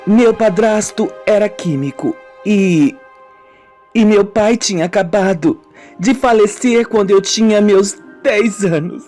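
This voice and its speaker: A woman with a Brazilian accent playing a wounded, brooding woman confessing her childhood in a melodramatic, deliberate radio soap style. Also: mid-range voice, dark tone, natural voice. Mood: wistful, bitter. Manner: slow, melodramatic, deliberate storytelling. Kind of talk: amateur radio soap acting. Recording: music bed under the voice.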